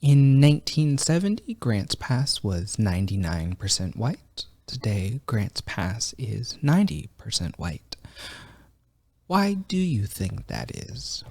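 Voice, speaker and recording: A man conducts an oral history interview, posing calm, brief questions. His pitch moves in a lively way, and the recording is dry and close. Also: breathy edge, no echo.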